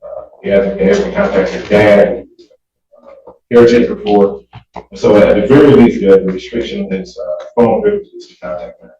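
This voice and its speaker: A man speaking as a defense lawyer pleading to a judge, hesitant, halting and deferential, recorded in a courtroom. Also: fairly low pitch, very animated, mellow. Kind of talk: spontaneous plea to a judge in court. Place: indoor courtroom. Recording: muffled, echoey courtroom, distant mic.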